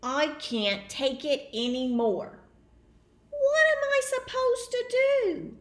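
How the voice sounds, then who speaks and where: A woman voicing a possessive, excitable pigeon from a picture book, dramatic, drawn-out and easily exasperated. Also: high voice, echoey room, voice pushed up. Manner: dramatic, drawn-out, exclaiming delivery. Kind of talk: picture book read aloud.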